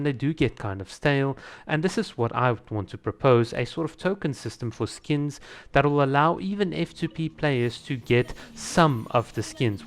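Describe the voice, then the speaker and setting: A man talking casually to viewers as a video creator, explaining his opinions and laying out ideas with examples. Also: medium pitch.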